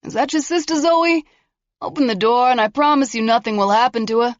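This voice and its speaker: An unhinged male killer taunts through a locked door, put on by a woman reading a horror story. He is mocking and sing-song at first, then drops to hushed threats. The recording is dull and lo-fi, with no room sound. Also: a little high, brisk, no room sound, voice pushed up, close to an everyday voice. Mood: taunting.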